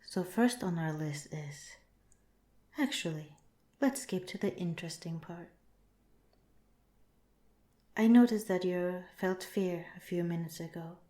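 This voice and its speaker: A woman voicing a calm, clinical alien researcher in an ASMR roleplay, speaking softly with measured, formal politeness. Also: lowish voice, natural voice. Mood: curious. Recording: roomy, echoing sound.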